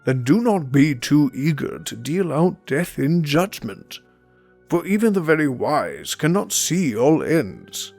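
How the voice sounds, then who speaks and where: A man playing a wise old wizard, solemn and measured, as in a fantasy drama. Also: fairly high pitch, sing-song, a little mellow, soft background music, exaggerated voice. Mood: grave, reflective. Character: wise, grave old male wizard, compassionate. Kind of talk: fantasy film-style dramatic acting.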